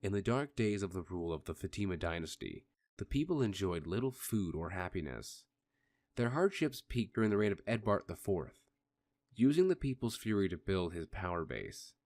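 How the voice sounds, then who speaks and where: A man narrating a fan radio play in a measured storytelling manner. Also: sits low, expressive, darkish tone. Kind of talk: scripted narration.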